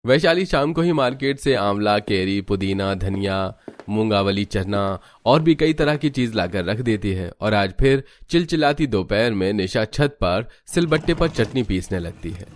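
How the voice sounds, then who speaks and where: A man reading scripted narration for a Hindi animated story as its narrator. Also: lowish voice, unhurried.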